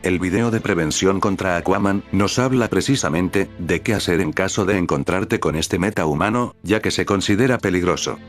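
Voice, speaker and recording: A man narrating a scripted horror recap over dark music. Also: sits low, moderate pace, few pauses. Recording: background music under the narration.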